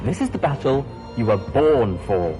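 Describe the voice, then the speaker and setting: A man doing a booming pegasus colonel mare. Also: big pitch swings, moderate pace, natural voice. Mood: rousing. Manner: booming, declamatory speech. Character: female pegasus officer, commanding and rousing. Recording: music playing underneath.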